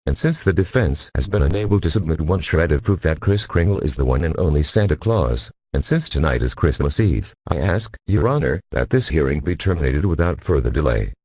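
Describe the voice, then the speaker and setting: Impatient, pompous district attorney played by a man, extremely low and somewhat husky, formal courtroom radio drama. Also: lively intonation, fairly quick, hardly any pauses, darkish tone, dry recording, full character voice. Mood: formal, insistent. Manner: formal, argumentative, sarcastic. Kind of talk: radio play courtroom acting.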